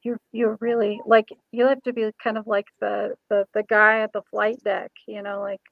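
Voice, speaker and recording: A woman's voice: mid-range voice, level tone, normal speed, gravelly, no echo, narrow, tinny audio. A woman hosting a casual interview show, speaking in an informal, chatty way with sentences that sometimes trail off. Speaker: show host; ordained minister, former pastor.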